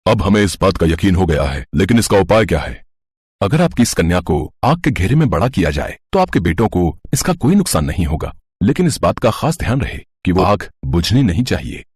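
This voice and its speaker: Cartoon royal priest voiced by a man: solemn, foreboding, very deep and husky. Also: big pitch swings, fairly quick, darkish tone, dry recording, full character voice. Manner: solemn, ominous. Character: grave elderly male royal priest.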